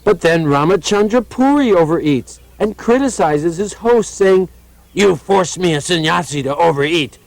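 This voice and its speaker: A man's voice: high-pitched, lively intonation, moderate pace, gravelly, dry recording, rough recording. A man playing an earnest, indignant monk in a puppet show, pleading persuasively.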